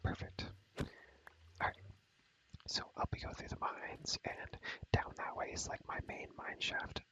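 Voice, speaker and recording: A young man speaks in a breathy, unvoiced whisper, as in ASMR. He rambles casually through gameplay commentary with slangy wording, often repeating a phrase several times over. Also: a little slow, sharp tone, rough recording. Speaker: ASMR video creator, gamer.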